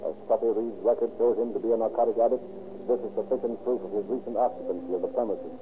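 A man's voice: on the low side, steady tone, fast talker, music in the background, lightly acted. A man reading scripted narration for an old radio crime drama. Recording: tinny, noisy old radio recording.

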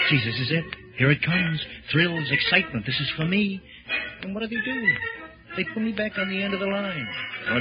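An actor playing a restless, bored factory worker in a rhythmic, dramatic monologue from a 1940s radio drama. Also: male voice, on the high side. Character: restless, bored, fed-up male torpedo assembly-line worker. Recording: muffled old 1940s radio recording. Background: loud dramatic music.

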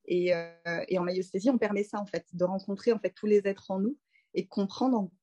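A woman in her thirties from France, a doula speaking as a guest in a relaxed interview, thinking aloud with frequent fillers and restarts. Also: medium pitch, a little fast, highs cut off. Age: 30s. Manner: reflective, many fillers and restarts. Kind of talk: casual conversational interview.

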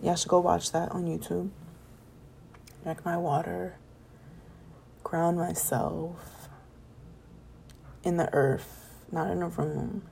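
Young woman, solo podcast host, gravelly and a bit hoarse, mellow tone, rambling and preachy about positivity. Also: fairly low pitch, flat delivery, slow pace, long pauses, slight background noise. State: voice getting raspy from talking late. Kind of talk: late-night solo podcast monologue.

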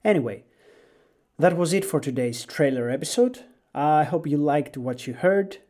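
A man's voice: a little high, lively intonation, moderate pace, dark tone. A man in his twenties from Greece with a Greek accent, hosting his own podcast in an earnest, slightly nervous way. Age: 20s. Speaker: podcast host. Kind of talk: solo podcast monologue.

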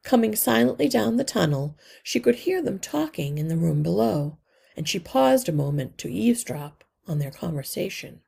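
A woman narrates a children's story in careful phrases. Her voice is very creaky and somewhat husky, and she takes her time while keeping the intonation lively. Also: mid-range voice, normal speed. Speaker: read-aloud narrator.

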